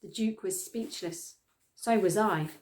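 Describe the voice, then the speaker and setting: A middle-aged woman reading a children's story aloud with expressive storytelling delivery. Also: medium pitch, measured pace. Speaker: storytime reader. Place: small plain room indoors, on a sofa.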